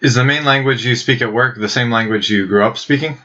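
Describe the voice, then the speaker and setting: A man's voice: mid-range voice, brisk, pushed and bright. A man hosting a podcast, asking guests long, conversational questions. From United States. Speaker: podcast host. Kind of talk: podcast interviewing. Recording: dull lo-fi podcast audio.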